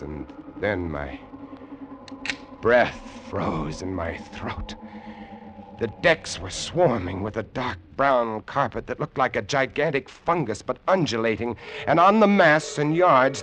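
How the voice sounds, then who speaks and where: An actor playing a lighthouse keeper who narrates a sea horror tale with suspenseful, deliberate delivery in old radio style. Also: male voice, medium pitch, lively intonation, fairly bright tone, slight background noise, low-quality recording. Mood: horrified. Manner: suspenseful, deliberate, theatrical storytelling. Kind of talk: 1950s radio horror acting, dramatic narration. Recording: vintage radio drama with music underneath.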